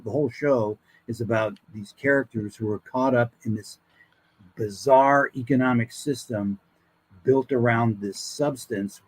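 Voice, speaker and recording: A man talking casually as a guest, pitching show ideas in a rambling, playful way. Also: darkish tone, breathy edge, no echo, low-quality recording. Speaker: guest, voice actor and writer.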